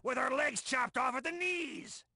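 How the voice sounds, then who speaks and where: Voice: high-pitched, a little slow, vocal fry. An actor voicing a taunting cop boss in a zombie video game. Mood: mocking. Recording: game audio under loud music.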